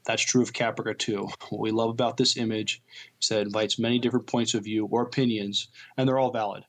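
Male, fairly low pitch, podcast co-host, casual delivery, halting and hesitant phrasing. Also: little pitch movement. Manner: halting, hesitant, stumbling over words. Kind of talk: casual podcast talk and reading out news.

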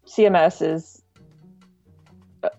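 Female podcast guest, relaxed dark-toned voice, casual conversational delivery, close dry sound a little dull. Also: low voice, slow, dry recording, faint music behind. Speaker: podcast guest, online music archive director.

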